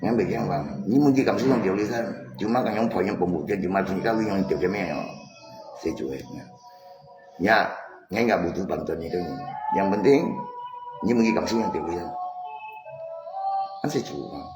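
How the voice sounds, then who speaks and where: Echoey, low-voiced man over clear music. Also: normal speed, pauses often. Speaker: live streamer. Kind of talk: casual live-stream chat. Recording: echoey room, music mixed in.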